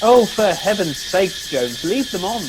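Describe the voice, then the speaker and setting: Man acting male sergeant type, genteel, drawling, very varied pitch, comic radio play. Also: sing-song, measured pace, background noise. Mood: appalled. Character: genteel, languid public-school English male second-in-command.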